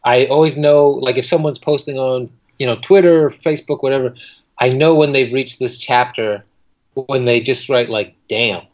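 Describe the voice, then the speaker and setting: A man speaking as a writer being interviewed, thoughtful and halting, with frequent reflective pauses. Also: medium pitch, steady tone, a little mellow. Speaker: guest fiction writer. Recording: dull lo-fi recording.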